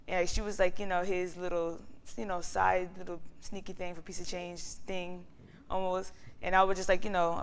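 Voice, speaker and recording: A woman's voice: fairly low pitch, smooth. A woman, an actor in a discussion, explaining casually in a colloquial, slightly hesitant way that circles back on itself.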